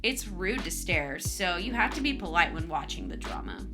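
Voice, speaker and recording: A woman's voice: on the low side, wildly swooping pitch, moderate pace. A woman hosting and narrating scripted stories with her own commentary. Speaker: narrator and host. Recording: music under the voice.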